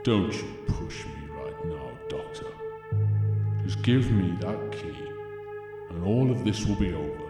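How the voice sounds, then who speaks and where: A man acting a stern, unyielding military brigadier in an audio drama, menacing and commanding, with deliberate authority. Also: low voice, lively intonation. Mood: cold resolve. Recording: very echoey hall, loud music.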